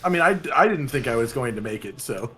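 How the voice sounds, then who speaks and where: A man's voice: medium pitch, animated, fast talker, crowd noise. A man co-hosting casual gameplay commentary, wry and quibbling, given to understatement. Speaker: co-host of a gaming video.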